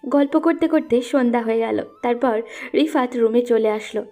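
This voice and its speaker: A woman's voice: on the high side, moderate pace, mellow. A woman narrating a scripted romantic story as the storyteller.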